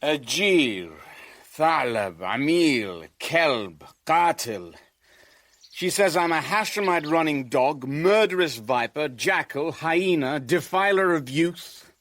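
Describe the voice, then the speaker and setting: A man's voice: a little high, expressive, slow pace, fairly bright tone, no room sound. A man acting in a radio drama as a sardonic, defensive businessman and shipowner who is under attack. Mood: bitterly sardonic.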